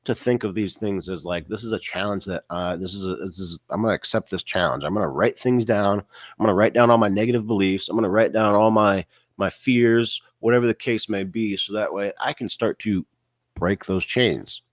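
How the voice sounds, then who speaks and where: A man's voice: on the low side, moderate pace, no echo, clean studio sound. A man with a cold, sounding stuffy and nasal, giving a casual faith-based motivational talk full of "you know" and "right?" fillers. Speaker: online business vlogger.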